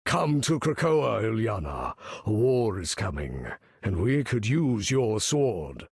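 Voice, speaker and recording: Husky, slow, grandly menacing mutant leader. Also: male voice, fairly low pitch, big pitch swings, a little mellow, no echo, studio quality, heavily acted. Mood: commanding, grave. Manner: grandiose, measured, theatrical delivery. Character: proud, menacing mutant leader, commanding supervillain with grand bearing. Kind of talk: video game character voice lines.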